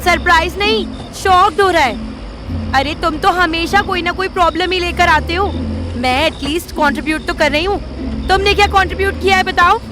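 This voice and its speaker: A woman's voice: very high voice, moderate pace, background noise. A woman playing an assertive, sassy young business partner in an audio drama, blunt and insistent, with an Indian accent. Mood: indignant. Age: young adult. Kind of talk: audio drama dialogue, Hindi mixed with English.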